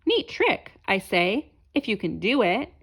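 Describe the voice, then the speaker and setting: A woman's voice: medium pitch, very animated, measured pace, creaky, fairly bright tone, slightly rough, voice pushed down, full character voice. A woman voicing a jovial, commanding circus boss in a storybook read-aloud. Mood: hearty, admiring. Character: jovial circus boss, a grown man in charge.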